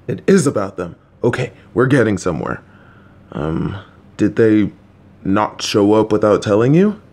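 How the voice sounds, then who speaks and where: A man playing a slow, gentle, supportive dad. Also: fairly low pitch, big pitch swings, heavily acted. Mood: calm, coaxing. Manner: patient, coaxing, reassuring; jokey asides. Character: gentle, supportive middle-aged father, a bit clueless. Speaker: voice actor in an audio roleplay. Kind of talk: listener-directed audio roleplay.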